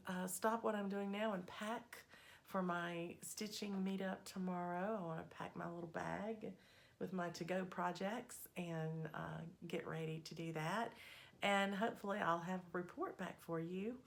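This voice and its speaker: A woman hosting a needlework vlog, her voice still a little scratchy as it recovers, talking in an unhurried, friendly way with plenty of ums. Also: on the low side, fairly even intonation, gravelly. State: slightly scratchy voice, recovering from a cold. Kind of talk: casual chatty progress update.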